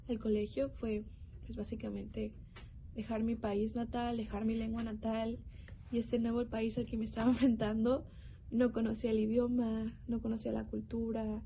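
Young woman, earnest faith testimony, higher mellow voice, faint hiss behind. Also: creaky, rough recording. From Mexico. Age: young adult. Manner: earnest, reflective, with pauses and restarts. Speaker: youth program speaker. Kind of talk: personal testimony.

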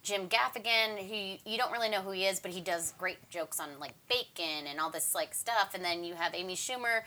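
Female comic chatting about her craft, bright forceful voice, casual. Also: fairly low pitch, fairly quick. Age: 30s or older. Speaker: stand-up comedian. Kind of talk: casual chat, explaining comedy craft.

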